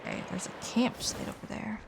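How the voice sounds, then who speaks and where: A woman's voice: fairly quick, slight background noise. A woman live-streaming a video game with casual, slangy and sardonic commentary.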